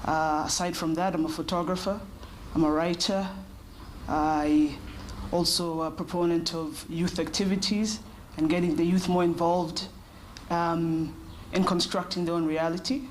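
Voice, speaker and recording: A woman in her thirties answers interview questions in a measured, reflective way. Her voice sits fairly low and her pace is unhurried. A faint noise hums behind her. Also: steady tone. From Kenya. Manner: thoughtful, measured, with short pauses. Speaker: interview guest.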